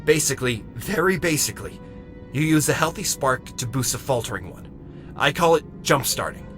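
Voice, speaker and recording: A man's voice: mid-range voice, animated, moderate pace, over music, natural voice. A man voicing an earnest, eager robot medic in an audio drama, chatty and explanatory. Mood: eager, insistent.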